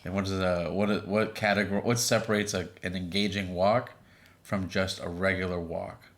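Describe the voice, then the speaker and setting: A man in his thirties co-hosting a podcast with his wife, chatting casually with a relaxed, filler-laden manner. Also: low voice, normal speed. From Boston area. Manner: relaxed, many fillers and restarts. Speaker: podcast co-host. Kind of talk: casual chat.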